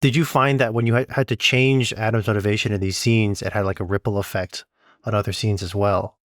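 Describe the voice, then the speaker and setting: A man's voice: fairly low pitch, fairly even intonation, a little fast, no room sound, studio quality. A man working as an interviewer, speaking in a casual, thoughtful and conversational way.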